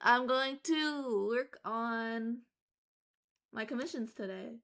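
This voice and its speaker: Hoarse, bright-voiced woman streamer. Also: a little high, slow pace. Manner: rambling with many fillers and restarts. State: hoarse, voice worn out from screaming. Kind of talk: casual chat while drawing on stream.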